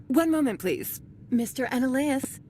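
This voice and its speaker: A woman's voice: high voice, lively intonation, a little slow, warm tone, slight background noise. A woman playing a deferential receptionist in a video game, courteous and professional. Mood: polite, attentive. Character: polite, deferential female receptionist.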